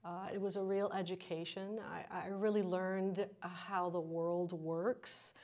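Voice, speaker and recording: A woman's voice: on the low side, flat delivery, a little slow, a little mellow. A woman in her forties from Miami, a poet speaking thoughtfully as a guest in a TV studio interview. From Miami, South Florida. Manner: thoughtful, fluent, with fillers and restarts. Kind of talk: conversational interview. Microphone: lapel.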